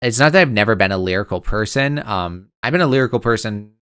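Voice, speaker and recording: A man in his twenties co-hosting a podcast, chatting casually in an excitable, rambling way and laughing easily. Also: fairly low pitch, quick pace, smooth, no echo, clean studio sound. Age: 20s. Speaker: podcast co-host. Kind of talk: casual chat.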